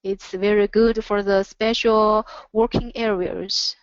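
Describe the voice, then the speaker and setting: A woman who speaks English as a second language presents a product in a webinar, haltingly, pausing between short phrases. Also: mid-range voice, little pitch movement, clear, resonant tone, no echo. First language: not English. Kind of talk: product presentation over slides. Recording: dull, lo-fi online audio.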